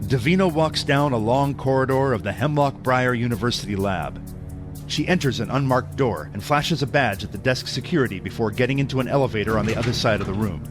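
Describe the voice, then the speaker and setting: A male narrator reads scripted audio drama in a mid-pitched voice. Music plays clearly behind his storytelling. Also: fairly quick. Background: background music.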